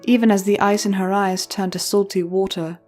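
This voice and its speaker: A woman's voice: medium pitch, steady tone, brisk, darkish tone, soft background music. Casting: woman storyteller for narrated fiction, mid-range voice, light musical backing.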